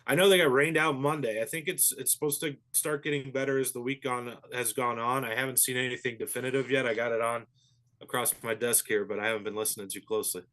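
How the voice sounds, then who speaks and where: Man, golf pro guest, easygoing, thoughtful, lots of ums, mid pitch. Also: fairly even intonation, fast talker, pushed and bright. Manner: easygoing and thoughtful, with frequent fillers. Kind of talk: phone-in interview analysis.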